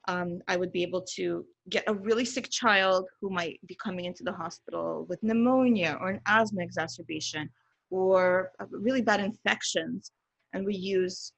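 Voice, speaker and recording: A woman with a medium-pitched voice, recorded dry with hardly any room sound. She speaks as an interview guest, explaining things earnestly and deliberately and leaning on key words. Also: a bit bright, no room sound, poor audio. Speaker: podcast guest, pediatrician.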